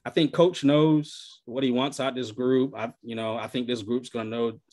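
A young man talking casually on a video call from a small room, relaxed and loose, filling his talk with "you know". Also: medium pitch, normal speed. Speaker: podcast panelist. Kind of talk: casual chat. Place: small room at home, indoors. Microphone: webcam on video call.

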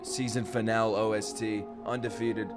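A young man streaming his reaction to an anime at home, cracking crude jokes and breaking into sudden loud outbursts. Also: fairly low pitch, flat delivery, normal speed, loud music behind the voice. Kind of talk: live reaction commentary. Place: home streaming room with gaming chair. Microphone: studio mic on boom arm, headphones on. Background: anime soundtrack playing faintly.

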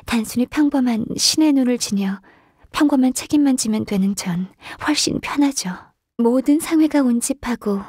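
Game casting: gentle, dutiful young secretary, softly polite and hesitant, lightly high voice, breathy, very dry recording. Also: female voice, no echo. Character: gentle, diligent, shy young woman secretary, half-adeptus. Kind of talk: video game character voice lines.

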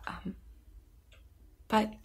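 An actress playing an anxious, lonely woman in her twenties, speaking haltingly and self-deprecatingly in a naturalistic audio drama. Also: darkish tone. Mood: hesitant, reflective. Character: anxious, lonely young woman who vanishes through time.

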